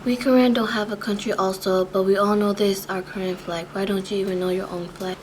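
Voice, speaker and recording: A woman's voice: medium pitch, fairly even intonation, fairly quick, background noise, close to an everyday voice. A young woman playing a blunt student who questions a classmate, in an amateur student drama. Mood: reproachful. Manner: blunt, reproachful.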